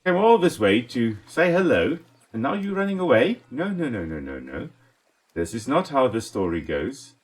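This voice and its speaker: South African man, Afrikaans first language, animated mid-pitched voice, chatty enthusiastic gameplay host. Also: moderate pace. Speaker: let's play host and ecologist. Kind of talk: casual gameplay commentary.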